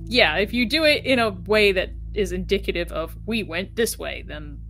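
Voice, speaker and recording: A woman's voice: mid-range voice, expressive, moderate pace, a bit bright, faint music behind. A woman playing a tabletop game, chatting casually and explaining her ideas as she thinks aloud. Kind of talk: casual group game chat.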